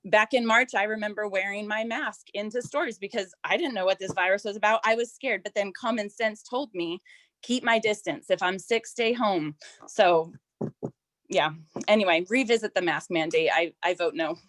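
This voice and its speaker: A woman with a hoarse, fading voice, speaking earnestly at a city council public comment. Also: mid-range voice, normal speed, fairly bright tone, no room sound. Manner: earnest, questioning, with restarts and laughs. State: hoarse, losing her voice.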